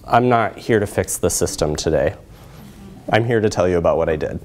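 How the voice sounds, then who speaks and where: Man presenting research, measured delivery. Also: deep voice, slight background noise. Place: lecture hall, indoors.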